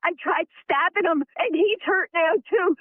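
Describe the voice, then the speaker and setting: A woman's voice: extremely high pitch, normal speed, no room sound. A woman making an emergency call, crying, panicked and pleading over and over. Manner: screaming, pleading, repeating. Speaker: emergency caller. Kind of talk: archival emergency call recording. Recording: tinny phone-line audio.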